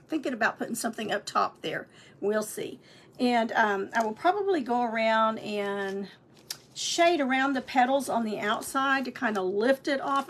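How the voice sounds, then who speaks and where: A woman's voice: fairly high pitch, fairly bright tone, slight background noise. Chatty woman teaching painting. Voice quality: friendly, chatty, many ums and restarts. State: slightly raspy voice. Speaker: painting instructor and shop owner. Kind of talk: live painting stream, chatty demonstration.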